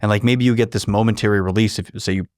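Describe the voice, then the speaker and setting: A man's voice: lowish voice, fairly even intonation, rapid-fire, darkish tone, clean tone, no echo, studio quality. An American man chatting casually as a podcast co-host, telling stories in profane, laid-back bro slang. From raised in a household of Arabic grandparents and cousins, half Vietnamese. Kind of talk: casual chat, storytelling.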